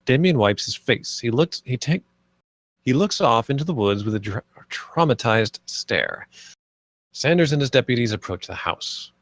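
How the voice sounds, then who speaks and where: Male narrator for screenplay action lines, medium pitch, closely recorded, table-read style. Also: expressive, normal speed, no echo, clean studio sound. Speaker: stage-direction narrator.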